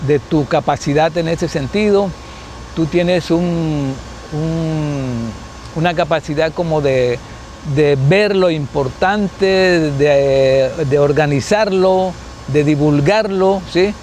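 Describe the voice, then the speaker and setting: An older man in his seventies from Colombia, a guest in a recorded interview. His voice sits a little high for a man, and he speaks unhurriedly, pausing and restarting as he thinks. A faint hum of background noise runs under the recording. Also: a little mellow. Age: 70s. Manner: unhurried, thinking aloud with restarts and tag questions. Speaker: guest sociologist. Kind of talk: reminiscing interview answers.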